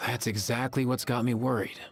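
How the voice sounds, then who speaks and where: A man's voice: medium pitch, gravelly, a bit bright. A man voicing a sardonic, casual young man in a video game, exasperated and slangy as a reluctant chaperone. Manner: sarcastic, slangy, sighing. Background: faint music.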